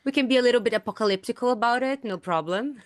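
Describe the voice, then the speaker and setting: A woman's voice: a little high, fast, no echo, clean studio sound. A teacher, a woman whose first language is Portuguese, speaking in a casual podcast interview, thoughtful and laughing lightly between points.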